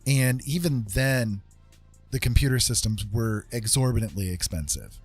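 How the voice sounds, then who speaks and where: An American man hosting a livestream, chatting casually and explaining things in an informal, halting, rambling way. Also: medium pitch, slightly rough, dry recording, faint music behind. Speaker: livestream host chatting with viewers.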